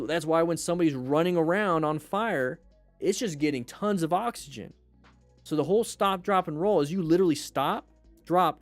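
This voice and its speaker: A man hosting a livestream, chatting casually with viewers in a blunt, joking, animated manner. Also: on the high side, no room sound. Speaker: livestream host; firefighter.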